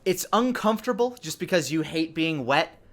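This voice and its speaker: A man narrating a tabletop game as dungeon master, describing scenes in a conversational, halting way. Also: fairly high pitch, lively intonation, a bit bright.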